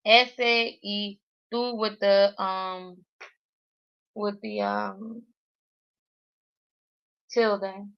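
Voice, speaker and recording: A woman talks her way through practice phrases, hesitant and self-correcting. Her speech is very slow and halting. The stream audio sounds dull and lo-fi. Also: mid-range voice, fairly even intonation, vocal fry, clear, resonant tone. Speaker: Spanish learner streaming a daily challenge. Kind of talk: thinking aloud while working through a language app.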